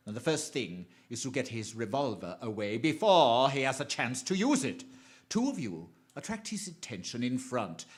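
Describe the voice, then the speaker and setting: A criminal mastermind of radio drama, acted by a man, commanding and coolly menacing. His formal, deliberate phrases swing widely in pitch around a middling register. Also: a bit bright. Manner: deliberate, authoritative, formally polite villain. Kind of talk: theatrical radio drama acting.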